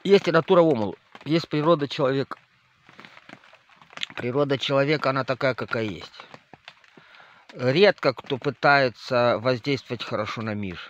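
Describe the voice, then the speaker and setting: Male spiritual leader, meandering delivery with fillers, mid pitch, lo-fi audio. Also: moderate pace, long pauses. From northern Moldova. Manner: rambling, with many fillers and restarts, addresses listeners directly. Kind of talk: spoken monologue to viewers. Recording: slightly dull lo-fi audio with faint noise.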